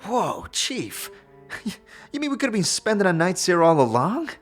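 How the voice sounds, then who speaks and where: A woman playing a cheeky, excitable adventuring companion in a fantasy audio drama. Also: on the low side, normal speed, faint music behind. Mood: delighted.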